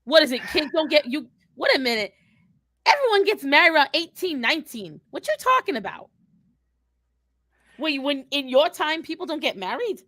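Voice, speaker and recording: Woman playing a bright, brash, sardonic young woman. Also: high voice, big pitch swings, moderate pace, no room sound, heavily acted. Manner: blunt, sarcastic, foul-mouthed. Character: brash, sardonic twenty-two-year-old woman who dislikes kids and animals. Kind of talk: improvised in-character question and answer.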